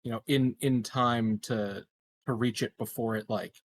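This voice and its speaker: Male tabletop gamer, average pitch, informal conversational delivery. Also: steady tone, a little slow, a little hiss or hum. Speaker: tabletop game player. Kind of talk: casual game chat, asking questions.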